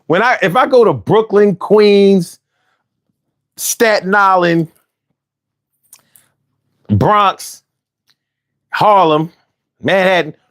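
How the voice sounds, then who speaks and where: A man's voice: high-pitched, extremely slow, pauses often, a bit bright. A man in his forties from Philadelphia with a Philly accent, hosting a casual, argumentative monologue full of slang and restarts. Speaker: host.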